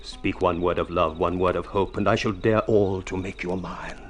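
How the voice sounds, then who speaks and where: A man's voice: deep voice, steady tone, moderate pace, a little hiss or hum, close to an everyday voice. An actor playing an eloquent, sardonic man who woos in grandiloquent, mock-poetic speech in a vintage radio drama. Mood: florid, ardent. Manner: florid, grandiloquent, theatrical. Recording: old radio drama recording with music.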